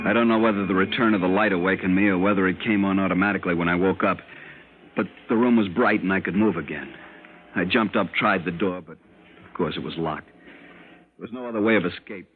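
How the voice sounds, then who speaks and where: A man's voice: deep voice, a little fast, natural voice. A man playing a frightened lieutenant, telling his strange story intensely in an old-time radio drama. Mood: tense recounting. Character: frightened military lieutenant recounting a strange captivity, urgent and haunted. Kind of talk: old-time radio drama acting, first-person narration. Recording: noisy, narrow-band old radio recording.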